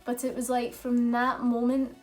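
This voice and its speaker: A woman's voice: fairly high pitch, monotone, normal speed, darkish tone, faint music behind. A young woman, chatting openly and telling stories in a casual question-and-answer vlog. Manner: chatty, open storytelling with fillers. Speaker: vlogger.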